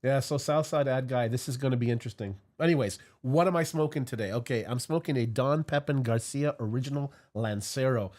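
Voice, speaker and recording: A man's voice: mid-range voice, a little fast, few pauses, darkish tone. A congested man hosting a casual cigar review stream, chatty and rambling. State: congested from a cold. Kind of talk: casual livestream chat.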